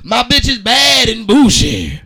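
A man co-hosting a podcast, giving a profane, slang-heavy mock-dramatic reading with theatrical pauses. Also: very high-pitched, sing-song, gravelly, crowd noise. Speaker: podcast co-host.

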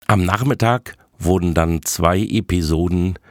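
A man's voice: deep voice, measured pace, dry recording, clean studio sound. A man narrating a podcast report as presenter.

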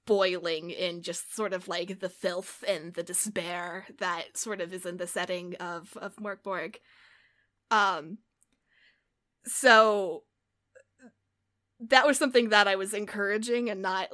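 A woman's voice: medium pitch, sharp tone. Woman game master chatting on a video call, lots of ums and likes. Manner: chatty, lots of filler words and laughs. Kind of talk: casual podcast chat after a tabletop game.

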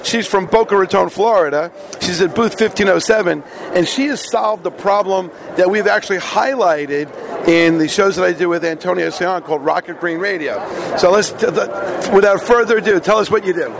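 A man hosting a radio show, chatting upbeat with quick quips while interviewing exhibitors at a trade show. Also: high voice, vocal fry. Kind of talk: casual interview. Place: busy trade show floor. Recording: noisy convention hall.

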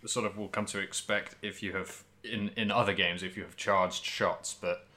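A man's voice: lowish voice, steady tone, normal speed, bright, forceful tone. A man co-hosting a podcast about video games, chatty and meandering as he thinks aloud in casual conversation. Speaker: podcast co-host. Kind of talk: casual video game discussion.

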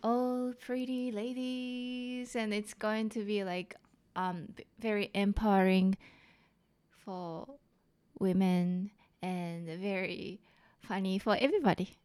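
Slow-talking Japanese-accented woman. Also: mid-range voice, steady tone, darkish tone, clear, resonant tone. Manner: halting, many fillers and restarts. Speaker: comedian guest. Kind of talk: casual interview chat.

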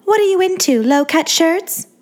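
A woman voicing a self-absorbed female celebrity who coos in a high, childlike sing-song. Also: lively intonation, moderate pace. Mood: cooing.

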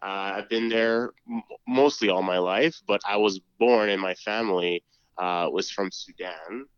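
A man answering questions as a podcast guest, his voice sitting a little low. He speaks hesitantly, restarting phrases and filling gaps with "uh", and laughs easily. The recording sounds dull and lo-fi. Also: measured pace, smooth, dry recording. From Canada. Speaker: podcast guest, student council member. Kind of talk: casual interview chat.

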